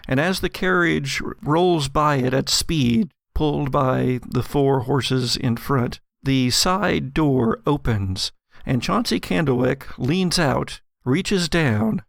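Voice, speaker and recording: A man narrates as a game master with a slow, measured delivery. His voice has a gravelly creak and a dramatic storyteller's shape. The sound is dry and close. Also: mid-range voice, dry recording. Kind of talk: narrating an actual-play audio drama, storytelling.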